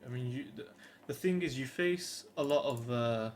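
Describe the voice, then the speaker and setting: A man's voice: medium pitch, moderate pace. A British man in his twenties talking casually and earnestly to camera, hesitant and rambling, with faint background noise. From United Kingdom. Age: 20s. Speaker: vlogger.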